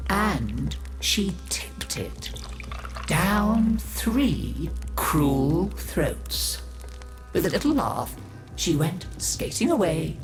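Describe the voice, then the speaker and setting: A woman narrating a creepy fairy tale for a game, dramatic and often sinking into hushed whispers. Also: deep voice, exaggerated voice. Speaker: storyteller.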